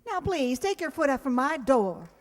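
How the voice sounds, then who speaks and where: A woman's voice: high voice, sing-song, moderate pace. A woman playing a haughty, irritable fiancée who will not give in, with a prim, exasperated delivery in old-time radio comedy style. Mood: firm, indignant. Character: haughty, irritable, unyielding fiancée woken early. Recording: echoey hall with long reverb.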